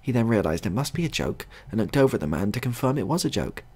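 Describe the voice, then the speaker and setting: A man narrating a scripted comic short story as a storyteller, in a husky, gravelly voice. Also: on the low side, sing-song, quick pace, a little mellow.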